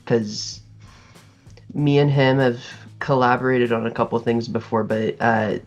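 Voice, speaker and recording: A man's voice: mid-range voice, a little slow, faint music behind. Young american man, muffled call audio. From United States. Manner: relaxed, chatty storyteller. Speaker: music group member. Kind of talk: casual interview chat. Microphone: video call.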